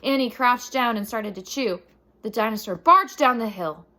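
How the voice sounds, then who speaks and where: A woman reading a children's chapter book aloud, with dramatic, animated storytelling and deliberate emphasis. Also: on the high side.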